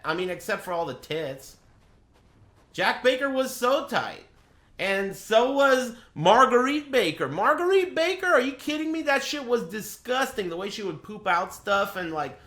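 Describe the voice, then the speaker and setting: A man's voice: high-pitched, sharp tone. A man who streams games, chatting casually and rambling through blunt, crude, joking impressions with plenty of swearing. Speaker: game streamer. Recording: roomy echo.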